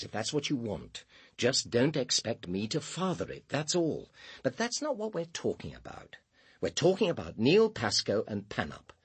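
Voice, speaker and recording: A patronising British director, with a very husky, breathy voice. His pitch swings in lively exasperation as he dismisses and corrects. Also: dry recording, exaggerated voice. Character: exasperated, condescending male director, cool and self-important. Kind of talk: radio drama acting.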